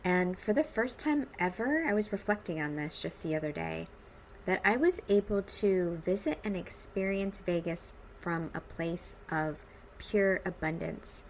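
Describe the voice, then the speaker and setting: An American woman in her forties speaks in a fairly low voice, as if addressing her regular podcast listeners. Her delivery is upbeat, informal and emphatic. Faint ambient noise sits behind the recording. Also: rough recording. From United States, suburban Midwest. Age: 40s. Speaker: podcast host. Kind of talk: personal podcast monologue.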